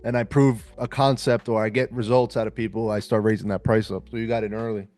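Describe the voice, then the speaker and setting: A man hosting a podcast interview, relaxed and hyping up his guest, often saying "man". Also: on the low side, fast, soft background music. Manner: relaxed, hypes up the guest, says "man" a lot. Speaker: podcast host and trading mentor. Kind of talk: casual interview chat.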